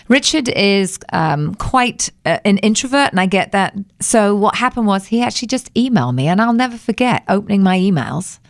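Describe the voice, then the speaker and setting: A woman's voice: mid-range voice, no room sound, clean studio sound. A woman singer being interviewed on the radio, reflective and telling anecdotes in a conversational way. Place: radio studio.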